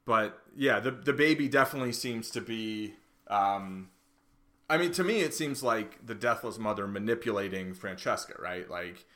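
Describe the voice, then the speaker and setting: A man's voice: fairly low pitch, moderate pace, a bit bright. A man, talking casually as a co-host on a TV discussion podcast.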